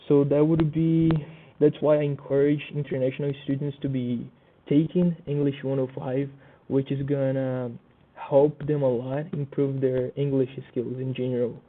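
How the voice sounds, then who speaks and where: A young man with a Brazilian Portuguese accent gives a class presentation, stopping often for fillers and restarts. His mid-pitched voice stays flat and even. The sound is thin and tinny, like a phone line. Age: young adult. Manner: halting, many fillers and restarts. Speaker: student presenter.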